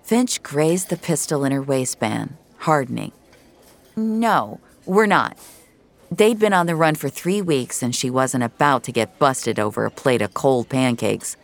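A woman's voice: rumbling low, animated, normal speed, creaky, hardly any pauses. A woman giving a dramatic scripted narration of a fiction story.

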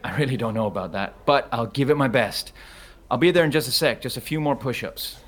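Guy as a sweet naive trainer new to showbiz, earnest, middle pitched voice, comedy audio drama. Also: a little fast, fairly bright tone, lightly acted. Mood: sincere, mild. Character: earnest, naive, good-natured personal trainer turned stage actor.

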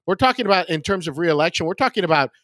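A man's voice: sits high, expressive, very fast, fairly bright tone, no room sound. A man, a comedian guesting on a podcast, chatting casually about politics and repeating words as he searches for the next thought.

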